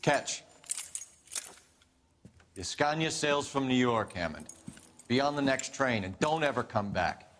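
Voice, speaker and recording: A man's voice: medium pitch, lively intonation, a little slow, pauses often, fairly bright tone, in a large hall, slight background noise. A man playing a firm, earnest police detective in a 1940s crime game. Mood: firm, merciful.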